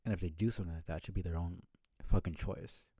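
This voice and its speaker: A man's voice: low voice, steady tone, fast talker, mellow, breathy edge, no room sound, clean studio sound. A man giving casual, rambling live-stream commentary in a blunt, sweary way. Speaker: streamer reading a webcomic aloud.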